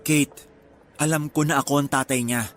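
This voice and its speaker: Actor as high-voiced, earnest Filipino young father. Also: steady tone, moderate pace, a bit bright, natural voice. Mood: pleading, insistent. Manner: emotional, insistent. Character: earnest young father pleading to claim his secret child. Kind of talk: radio melodrama acting.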